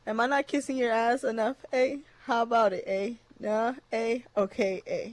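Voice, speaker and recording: A woman's voice: fairly high pitch, fairly even intonation, normal speed, no room sound, close to an everyday voice. A woman voicing a perky, fake-sweet Canadian wrestling champion, tagging "eh" onto nearly every sentence. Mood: fake cheerful.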